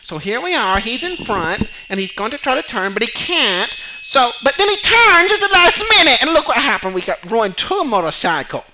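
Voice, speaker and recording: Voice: medium pitch, normal speed, hardly any pauses, slight background noise, poor audio. A performer playing an exasperated male motorcycle instructor who speaks broken English, in a comedy sketch interview. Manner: broken English with dropped articles, excitable.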